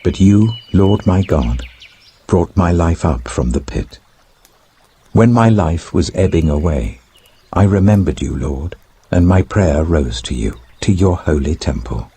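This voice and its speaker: A man's voice: low-pitched, animated, a little slow, mellow, slightly rough, full character voice. A British man voicing a grave, devout, repentant prophet in a solemn, declamatory scripture reading. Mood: anguished, prayerful.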